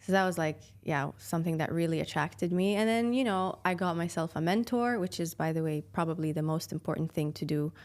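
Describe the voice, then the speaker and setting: A woman in her twenties from Sweden, a podcast guest talking casually and thoughtfully about her path, with fillers and soft laughs. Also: fairly low pitch, fairly quick, hardly any pauses. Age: 20s. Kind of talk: casual interview chat.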